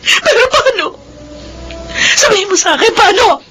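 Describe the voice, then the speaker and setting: A woman's voice: very high voice, expressive, moderate pace, sharp tone, a little hiss or hum, exaggerated voice. An actress playing a widowed young mother in a radio drama, shaky and tearful, with a stammer. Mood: sobbing. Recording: radio drama with faint music.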